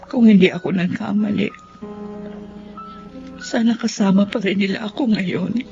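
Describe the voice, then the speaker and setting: A sorrowful, weary middle-aged Filipino mother in a radio drama. She speaks slowly and mournfully, with clear music behind her and dulled audio. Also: mid-range voice, steady tone, warm tone, muffled recording. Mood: regretful. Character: remorseful, sickly estranged mother, sorrowful and weary.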